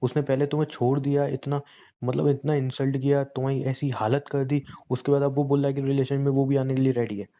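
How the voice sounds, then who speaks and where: A man's voice: medium pitch, level tone, fairly quick, close to an everyday voice. A young man playing a hurt, angry boyfriend in an acted phone conversation, pleading and mixing English phrases into his speech. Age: young adult. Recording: dull, lo-fi phone call recording.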